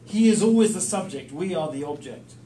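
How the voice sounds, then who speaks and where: A man preaching in short, emphatic, plain-spoken phrases, pausing for an interpreter. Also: a little high, expressive, warm tone. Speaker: guest preacher teaching the Bible. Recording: echoey room, distant mic, faint noise.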